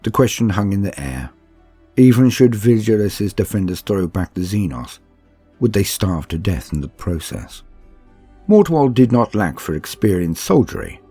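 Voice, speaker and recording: A man with a very low, dark voice narrates from a book in a calm, measured reading. His delivery is soothing and steady, with a husky edge, over music. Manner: measured, soothing, unhurried storytelling.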